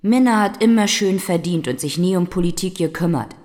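A woman's voice: lowish voice, brisk, darkish tone, long reverb tail, lightly acted. An actress in a German radio play voicing a plain-spoken working-class mother in a homely, colloquial way. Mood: familiar, teasing.

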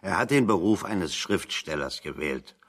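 Satirical exile, lowish voice, ironic, deadpan, conversational radio play dialogue. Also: male voice, normal speed. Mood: wry, mocking. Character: wry plain-spoken man, dryly satirical exile in conversation.

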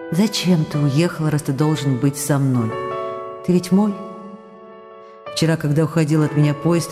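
A woman's voice: low voice, close to an everyday voice. A woman playing a loving young actress in a radio play, reading out her intimate, emotional letters to her beloved. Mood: wistful, tearful. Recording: reverberant radio studio with music behind. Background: music.

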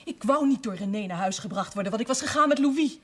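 An actress playing a defiant, principled grown daughter in a television drama, reproachful, indignant and outspoken. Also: female voice, medium pitch, animated, quick pace, natural voice. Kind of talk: naturalistic television drama acting.

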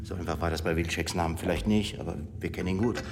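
An actor playing a middle-aged forensic doctor in a radio drama, wry, deliberate and matter-of-fact. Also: male voice, low-pitched, fairly even intonation, slight background noise. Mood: wry, factual. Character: dry, unhurried male forensic doctor. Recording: long reverb, music clearly behind.

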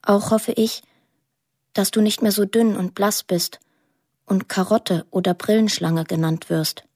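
An actress voicing a lonely, imaginative eight-year-old girl in a radio drama monologue, plain, deliberate and earnest. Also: medium pitch, darkish tone, husky, dry recording, clean studio sound. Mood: tender, hopeful.

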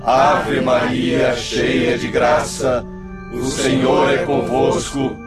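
A man's voice: mid-range voice, little pitch movement, drawn-out words, over loud music. An actor playing a devout man reciting a prayer reverently in a radio drama.

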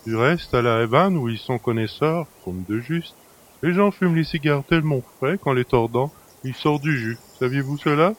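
A man's voice: medium pitch, normal speed, close to an everyday voice. A man playing a cheeky, familiar manservant in an audio drama, chatty and mocking. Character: cheeky, familiar manservant who helps himself to master's luxuries. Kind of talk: French audio drama acting.

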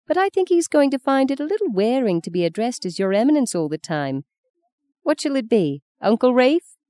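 Woman acting brisk, sharp-tongued grandmother, raised pitch. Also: big pitch swings, no echo, clean studio sound. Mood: gracious. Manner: commanding, tart. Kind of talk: audiobook character reading.

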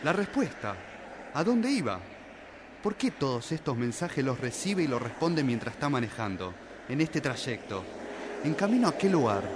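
A man with an Argentine accent playing a grieving, suspicious son in a radio drama, speaking insistently as he thinks aloud. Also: a little high. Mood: agitated, obsessed. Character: grieving, suspicious son obsessively investigating his father's death. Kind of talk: Spanish-language radio drama acting. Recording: clear street and traffic noise.

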